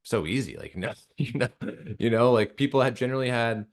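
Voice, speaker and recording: A man speaking earnestly and haltingly in a conversational interview, repeating phrases for emphasis. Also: mid-range voice, fairly even intonation. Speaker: interview guest, radio drama creator.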